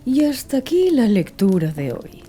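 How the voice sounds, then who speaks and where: A woman reading a literary audiobook in a soft, soothing manner, as its narrator and host. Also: on the high side, sing-song, moderate pace. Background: music.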